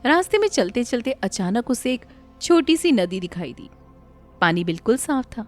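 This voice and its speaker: Animated woman storyteller. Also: fairly high pitch, normal speed. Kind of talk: scripted, dramatic moral story narration. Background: faint music.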